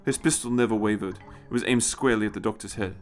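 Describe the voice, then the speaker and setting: A man's voice: fairly low pitch, fast talker, soft background music. A man giving a dramatic scripted narration of a story as its narrator.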